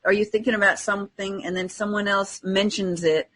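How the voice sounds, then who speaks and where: A woman's voice: mid-range voice, steady tone, a little fast, fairly bright tone, husky. A woman in casual podcast conversation, telling personal anecdotes and acting out bits of dialogue.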